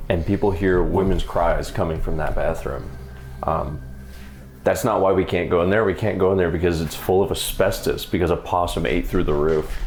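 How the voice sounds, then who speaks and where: A man's voice: deep voice, a little hiss or hum. A man investigating an old building at night, joking and calling out to spirits. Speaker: paranormal investigator. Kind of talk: ghost hunting show narration.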